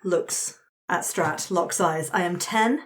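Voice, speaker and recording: A woman's voice: fairly low pitch, slow. Woman leading a tabletop game. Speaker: game facilitator and player. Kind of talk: explaining a tabletop game to friends.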